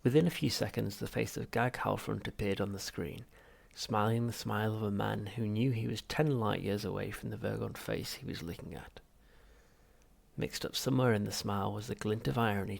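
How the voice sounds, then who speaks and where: A man's voice: on the low side, creaky, husky. A man reading comic science fiction aloud as scripted audiobook narration. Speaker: audiobook reader.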